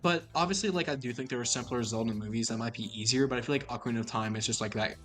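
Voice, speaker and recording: Young male podcast co-host, excitable, rambling delivery, faint music underneath. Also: medium pitch, very fast. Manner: rambling, excitable, restarts often. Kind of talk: casual chat.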